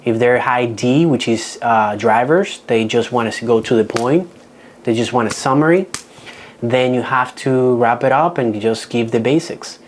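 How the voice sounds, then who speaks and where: A man's voice: mid-range voice, moderate pace, slight background noise. Man with a Dominican accent teaching. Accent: Dominican Spanish. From Dominican Republic. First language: Spanish. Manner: frank, practical, with frequent restarts. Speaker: real estate agent giving a seminar. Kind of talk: seminar talk.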